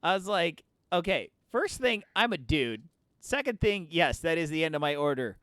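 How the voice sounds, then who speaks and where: Man, 30s, higher voice than most men, lively laughing storyteller, clean dry sound, faint music. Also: moderate pace, smooth, dry recording. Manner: laughing storyteller who acts out quoted voices. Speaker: streamer. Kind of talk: casual chat while livestreaming a game.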